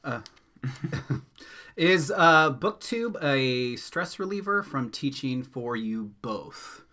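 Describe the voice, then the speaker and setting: Bright-voiced man tossing in short asides. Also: medium pitch, animated. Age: 30s. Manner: brief, dry asides with quick back-channel replies. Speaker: co-host, book channel. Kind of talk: casual chat.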